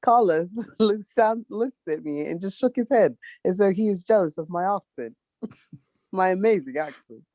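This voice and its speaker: A woman's voice: mid-range voice, animated, normal speed, warm tone, no echo. A playful woman co-hosting a podcast, her voice swooping up and down as she jokes around. The sound is dry and close, with no room around it. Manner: playful, laughs often. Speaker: podcast co-host. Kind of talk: casual chat.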